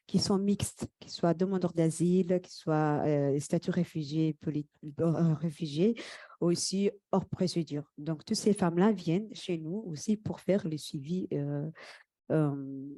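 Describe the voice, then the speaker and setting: A woman from Somalia whose first language is Somali presents in a fairly low, mellow voice. She speaks haltingly, repeating words as she looks for phrasing. The recording is dry. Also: no echo. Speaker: community worker and interpreter. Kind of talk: spoken conference presentation. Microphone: microphone.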